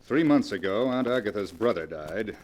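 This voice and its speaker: An actor playing a greedy, scheming nephew who explains things earnestly, in 1940s radio drama style. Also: male voice, fairly low pitch, lively intonation, moderate pace, darkish tone. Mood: conspiratorial.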